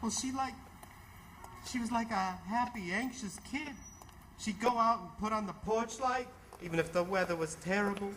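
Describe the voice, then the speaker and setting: An actor playing a distraught, bitter and weary ambulance driver in old-time radio theatre, with an emotional, halting, stammering delivery. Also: male voice, high voice, a bit bright, slight background noise, rough recording. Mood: grieving.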